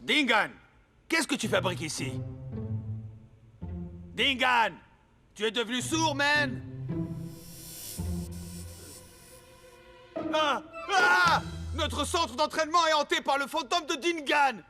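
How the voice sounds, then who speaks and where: A man playing a cocky, excitable young football star in a dubbed cartoon. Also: extremely high pitch, measured pace, long pauses, exaggerated voice. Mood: alarmed. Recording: music behind the voice.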